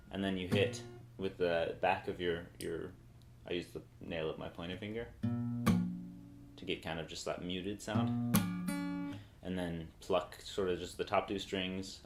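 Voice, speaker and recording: Brief: young male guitar teacher, very low voice, loose rambling delivery, echoey room, acoustic guitar playing throughout. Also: creaky. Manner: relaxed, rambling, thinks aloud mid-sentence. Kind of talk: casual guitar tutorial. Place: small bright room indoors, seated with guitar.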